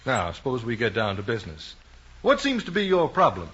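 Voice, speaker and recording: Genial small-town American bank president: fatherly, folksy, lively intonation, old-time drama on a lo-fi recording. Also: medium pitch, brisk, breathy edge, full character voice. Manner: folksy, avuncular, hesitating. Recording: dull, lo-fi vintage recording.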